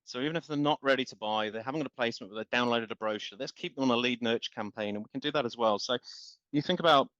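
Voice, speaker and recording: A man talks with passion in long, rambling explanations, stumbling over repeated words as he builds his point. His voice is mid-pitched. The recording is dry and dull, with a lo-fi edge. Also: racing, a bit bright, no echo. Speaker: guest, marketing company founder. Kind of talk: business podcast interview.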